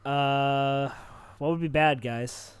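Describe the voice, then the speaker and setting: A man playing a tabletop roleplaying game, chatting casually and haltingly as he thinks aloud. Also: mid-range voice, fairly even intonation, clean tone, no room sound. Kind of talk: casual improvised roleplay chat.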